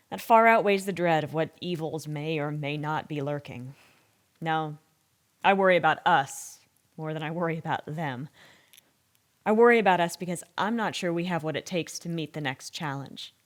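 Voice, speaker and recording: Actress as a thoughtful female expert, low voice, measured, reflective, troubled, naturalistic radio drama interview. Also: lightly acted. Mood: earnest, worried.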